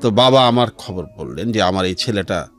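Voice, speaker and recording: A middle-aged man with a Bangladeshi accent telling his life story as an interview guest, in a measured way with pauses and some English words mixed in. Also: lowish voice, animated. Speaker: interview guest, civil servant. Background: background music.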